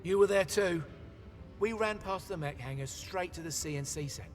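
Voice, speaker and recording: Excitable, high-pitched defensive man acted, echoing. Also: moderate pace, close to an everyday voice. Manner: excitable, argumentative. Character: indignant, defensive male tech operative. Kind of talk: dramatic video game acting. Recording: long reverb, music underneath.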